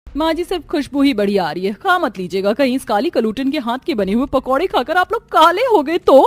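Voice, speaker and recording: Spiteful young sister for a cartoon played by a woman: high, animated delivery, sneering and snappish. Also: fairly quick. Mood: scornful. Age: young adult. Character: haughty, spiteful fair-skinned young sister. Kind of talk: Hindi cartoon story acting.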